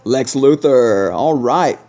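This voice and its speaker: Mellow male gaming host, slightly muffled. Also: medium pitch, expressive, takes it slowly. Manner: conversational, thinking aloud. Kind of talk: casual game review commentary. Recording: dull, lo-fi sound.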